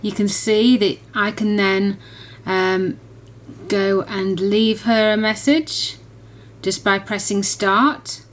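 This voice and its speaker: A woman's voice: medium pitch, fairly even intonation, slow pace. A woman reviewer in a casual, chatty and enthusiastic demonstration, rambling along as she explains. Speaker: app reviewer.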